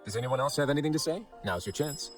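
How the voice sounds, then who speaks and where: A man voicing a shrewd, composed prison fortress administrator in an anime game, courteous, smooth-talking and deliberate. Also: a little high, lively intonation, natural voice. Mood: explaining, composed. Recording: faint background music.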